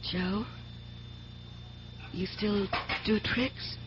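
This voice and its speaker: A woman's voice: medium pitch, steady tone, extremely slow. A woman playing a friendly, curious young woman in a 1970s radio drama. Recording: noisy, dull 1970s radio recording.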